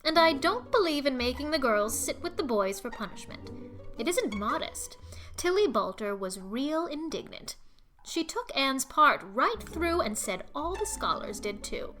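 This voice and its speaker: A highish, very animated middle-aged gossip acted by a woman for an audiobook. She is bossy and sure of her opinions, folksy and emphatic, ready to be scandalized. Faint music sits behind the voice. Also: unhurried, heavily acted. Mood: knowing, opinionated. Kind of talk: audiobook character acting.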